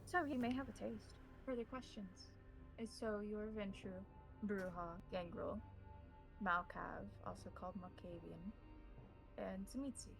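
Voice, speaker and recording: Woman, game master, creaky voice, formal measured phrasing, tabletop roleplay, faint background music. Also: mid-range voice, steady tone, poor audio. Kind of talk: improvised tabletop roleplay narration.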